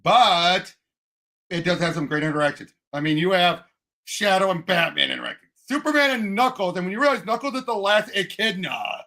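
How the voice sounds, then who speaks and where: A man in casual fan chat as a show co-host, excitable and emphatic, often halting mid-thought. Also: high-pitched, creaky. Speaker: co-host of a movie and comics discussion show.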